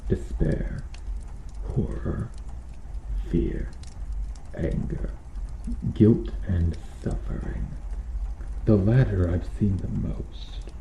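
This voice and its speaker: A man playing a cruel, vengeful medieval knight in an ASMR roleplay, speaking in formal archaic phrases with menacing, deliberate intensity. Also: sits low, gravelly, exaggerated voice. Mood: accusing, probing. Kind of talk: ASMR roleplay, slow dramatic monologue. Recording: muffled, roomy sound with background noise.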